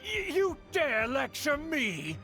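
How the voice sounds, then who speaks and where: A pompous older noble uncle from a video game. His voice sits high and swings widely as he declaims with haughty indignation over background music. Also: huge pitch swings, takes it slowly, bright, forceful tone, heavily acted. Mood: outraged. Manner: haughty, declamatory, formal speech.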